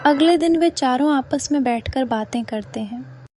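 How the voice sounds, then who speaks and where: Very high-pitched female story narrator. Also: normal speed, over music. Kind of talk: scripted Hindi horror cartoon story narration.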